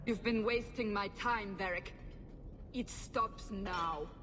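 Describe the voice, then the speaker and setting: A woman playing a stern, commanding interrogator in a video game, imperious and deliberate in her speech. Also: mid-range voice, background noise, close to an everyday voice. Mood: stern, demanding. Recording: long hall reverb, faint music.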